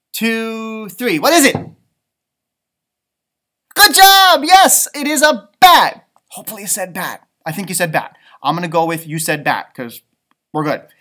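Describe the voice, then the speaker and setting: Male storyteller for young children, thirties, high-pitched for a man, lively swooping intonation, playful asides. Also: normal speed, pauses often. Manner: expressive, playful, asks listeners questions. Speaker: elementary school storybook reader. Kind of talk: animated children's read-aloud with asides. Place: indoors, school library.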